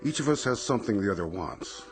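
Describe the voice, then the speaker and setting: A deep male voice from an actor plays an urbane supernatural tempter in a radio drama. The delivery is richly animated, teasing and sly, with light music underneath. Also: fast, close to an everyday voice. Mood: smooth, persuasive. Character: suave, sly, jovial supernatural tempter offering bargains.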